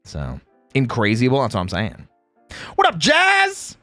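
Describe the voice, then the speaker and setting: A man hosts a casual livestream with playful, teasing banter and exclamatory reactions. His mid-pitched voice swoops widely up and down. The sound is dry, with faint music underneath. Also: huge pitch swings, a little slow, bright, forceful tone, no room sound. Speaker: streamer and host; game voice actor. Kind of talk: casual livestream chat, greeting viewers.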